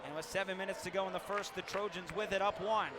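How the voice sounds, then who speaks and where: A man's voice: high voice, steady tone, fast talker. A man doing live basketball play-by-play for radio, with vivid, punchy calls that lift on big plays. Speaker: play-by-play sports announcer. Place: indoor basketball arena during a game. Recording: loud arena noise, bouncing balls. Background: loud game ambience, ball bounces.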